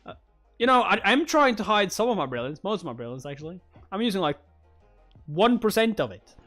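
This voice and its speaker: Excitable high-voiced man reacting. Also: brisk, soft background music. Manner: excitable, jokey. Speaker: reaction video host. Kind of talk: live read-along reaction, casual commentary.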